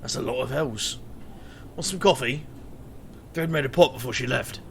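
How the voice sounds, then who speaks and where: An actor playing a scatterbrained, playful, good-natured boss in a fantasy audio drama, stammering and jokey, with a gravelly edge. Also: mid-range voice, moderate pace, lightly acted. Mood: casual, joking. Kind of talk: audio drama character acting. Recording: faint noise.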